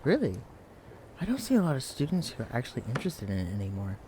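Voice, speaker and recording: A young man playing a shy, clumsy, bookish librarian in an intimate audio roleplay, speaking in a hesitant, stammering, hushed way. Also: mid-range voice, wildly swooping pitch, fast, noisy background. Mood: helpful, eager. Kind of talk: intimate audio roleplay acting addressed to the listener. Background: quiet library ambience.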